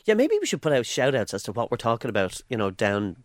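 A man's voice: medium pitch, wildly swooping pitch, no echo, studio quality. An Irish man who works as a comedian, co-hosting a podcast and cracking jokes and quips. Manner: joking, quick quips and interruptions. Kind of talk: casual chat.

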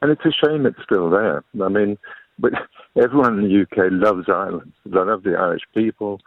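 A man's voice: deep voice, no room sound. A man calling in to a radio phone-in, giving an earnest opinion and repeating himself. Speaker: caller. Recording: phone line.

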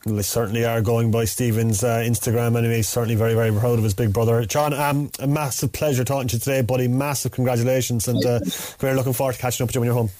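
A man with a Cork accent hosting a radio sports show, interviewing in a relaxed, friendly way. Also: medium pitch, racing, few pauses.

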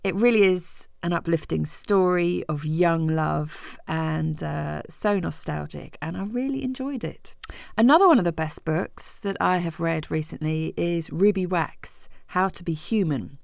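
Woman reviewing books, lots of vocal fry, sits low, really dry audio. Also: measured pace, dry recording. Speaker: radio presenter recommending books. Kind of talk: personal book review.

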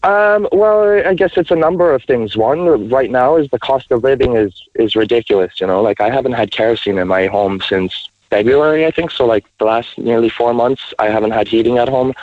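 A man's voice: medium pitch, a little fast, no echo. Man in his twenties on a muffled call. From mixed, raised largely in the United States. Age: 20s. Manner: rambling, heavy with fillers like "you know" and "like". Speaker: caller. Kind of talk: radio phone-in call. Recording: muffled phone-in line.

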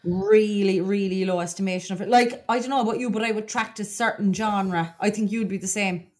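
A woman's voice: mid-range voice, steady tone, a little fast, a little mellow. An Irish woman with a Cork accent co-hosting a podcast in a casual, gossipy, confiding way. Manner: chatty, colloquial, confiding. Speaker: podcast co-host.

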